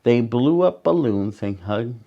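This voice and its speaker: Slow, gravelly male storyteller. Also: medium pitch, animated, lo-fi sound. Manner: deliberate, dramatic pauses. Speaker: storyteller reading a picture book to children. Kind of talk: animated read-aloud storytelling with asides to young listeners.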